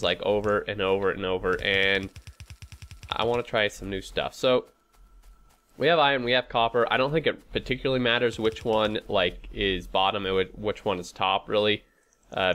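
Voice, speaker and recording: Male gaming host, fairly low voice, nasally stuffed from a head cold; casual, hesitant, talks through plans as he plays. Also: fairly bright tone. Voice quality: stuffy, congested nose. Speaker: host of a gaming playthrough series. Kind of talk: casual gameplay commentary.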